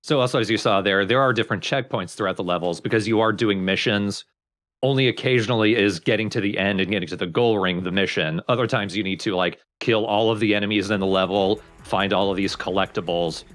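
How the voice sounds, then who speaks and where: Casting: male let's play host, on the deeper side, wry explainer over game music. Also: quick pace, a bit bright.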